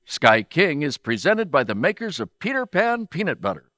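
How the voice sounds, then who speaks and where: Dramatic 1940s announcer played by a man, polished, animated, slightly slow, dry close sound. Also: a little high, no echo.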